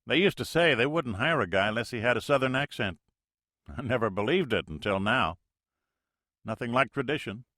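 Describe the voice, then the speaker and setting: Man voicing a wry, chatty co-pilot, a touch higher than the narrator. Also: lively intonation, normal speed, sharp tone, no room sound, studio quality. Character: amused, chatty Navy co-pilot.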